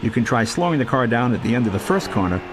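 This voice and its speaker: A man's voice: mid-range voice, very animated, quick pace, over music. A man reading scripted instructional narration for a racing video game tutorial, in an explanatory manner. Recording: engine and race car noise throughout. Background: loud race car engines.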